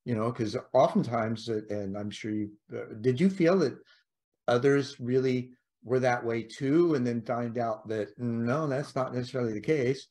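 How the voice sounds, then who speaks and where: A man's voice: medium pitch, normal speed, darkish tone. A middle-aged man hosting a podcast, asking long rambling questions over a video call. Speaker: podcast host. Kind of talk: casual interview chat.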